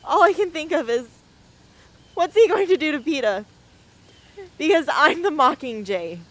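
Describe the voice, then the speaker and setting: A woman's voice: high voice, lively intonation, normal speed. A woman playing a troubled, reluctant teenage rebel heroine in an amateur radio drama, hesitant and vulnerable. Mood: distraught. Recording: faint background ambience noise.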